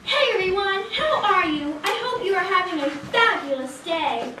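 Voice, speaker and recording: Kind puppet-show girl acted by a woman, very high and animated, distant echoey room with noise. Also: moderate pace. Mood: cheerful. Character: kind, cheerful, poor girl neighbor. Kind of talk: children's puppet show acting. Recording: echoey, distant, clearly noisy.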